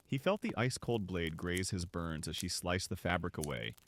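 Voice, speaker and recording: A man narrating a scripted story for an audio drama in an expressive, dramatic way. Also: deep voice, normal speed, a little hiss or hum. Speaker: narrator and voice actor.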